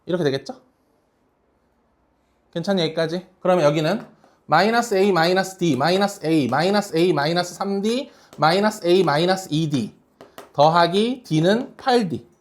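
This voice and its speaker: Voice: mid-range voice, unhurried. A math teacher working through problems in a classroom lesson, speaking casually and often asking students questions to check they follow.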